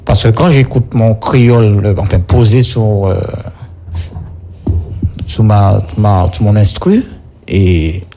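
A man's voice: fairly low pitch, fairly even intonation, slow, pauses often, mellow, slight background noise. A man in casual conversation, explaining things as a guest and often repeating and rephrasing himself. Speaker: guest, songwriter for singers.

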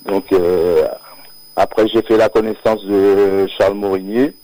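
Slow, hesitant low-voiced man on the radio. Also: steady tone, dark tone, dry recording, poor audio. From Martinique, born near Paris. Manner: hesitant, many fillers and restarts, laughs often. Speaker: singer, radio guest. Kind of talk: live radio interview, casual chat. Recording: radio broadcast audio.

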